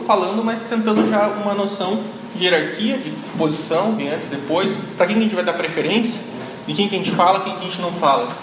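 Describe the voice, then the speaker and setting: Male lecturer, high-pitched, keeps checking in with "right?"; roomy hall sound. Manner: frequent "né?" tag questions, thinking aloud. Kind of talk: classroom lecture. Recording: echoey hall, noisy ambience.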